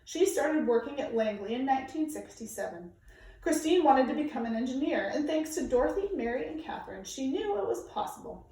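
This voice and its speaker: A woman reading a children's nonfiction book aloud in a measured, emphatic way, phrasing it for young listeners. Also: high-pitched, expressive, mellow. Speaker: storybook reader. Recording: very echoey room, distant microphone.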